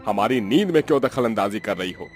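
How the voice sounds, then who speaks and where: A man's voice: fairly low pitch, lively intonation, fast talker, soft background music, lightly acted. An actor playing a grumpy, fault-finding older father-in-law, stern, disdainful and always complaining. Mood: irritated. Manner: complaining, scolding.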